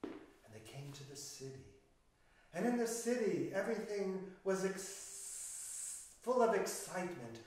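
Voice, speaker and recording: In a very echoey room, a man with a fairly high voice tells a children's fairy tale. He takes his time, leaving deliberate pauses and leaning on key words theatrically. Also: very animated, darkish tone. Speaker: children's storyteller. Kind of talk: animated storytelling of a fairy tale. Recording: echoey room, distant microphone.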